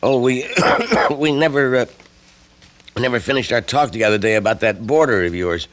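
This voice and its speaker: A man's voice: medium pitch, moderate pace, lightly acted. A man playing an affable, coaxing lodger with a chesty cold, speaking hesitantly and folksily in an old-time radio mystery drama. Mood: affable, grateful. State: sick with a chesty cough. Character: ailing male lodger, affable, coaxing and evasive. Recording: noisy archival radio drama recording.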